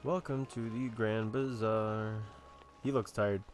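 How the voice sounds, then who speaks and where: A woman comments casually on the game she is playing. Her voice is extremely low and her pace is slow. Soft game music sits behind her. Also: fairly even intonation. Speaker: gamer recording a playthrough.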